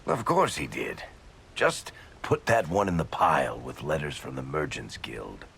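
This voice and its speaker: A man voicing a wisecracking, big-hearted dwarf rogue in a video game, with a gravelly voice and a casual, irreverent manner. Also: sing-song, a little slow, fairly bright tone, slight background noise, exaggerated voice. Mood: wry, breezy. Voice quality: low gravelly voice. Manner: wry, casual, breezily irreverent.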